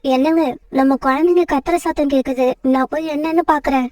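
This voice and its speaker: A woman's voice: sits high, fairly even intonation, brisk, lightly acted. A woman voicing a principled, firm mother sparrow in a children's cartoon story. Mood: reassuring.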